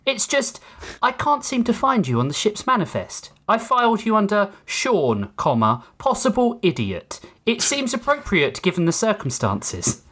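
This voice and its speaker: A man performs a polite android caretaker from a sci-fi story. The British accent is upper-crust and the tone calm and reassuring, with a dry, sardonic edge and lively pitch movement. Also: normal speed, slightly rough, exaggerated voice. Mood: courteous, dry.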